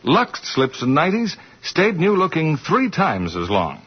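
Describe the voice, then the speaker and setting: A dull old radio recording of an American man announcing. He delivers scripted sales copy in 1940s style with a husky, animated voice. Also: a little high, unhurried.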